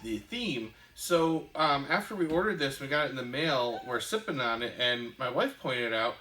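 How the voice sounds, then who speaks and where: A woman's voice: very deep voice, expressive, normal speed, fairly bright tone, a little hiss or hum, low-quality recording. A woman co-hosting a casual chat show, rambling with lots of fillers and easy enthusiasm. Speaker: podcast co-host. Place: shop room indoors.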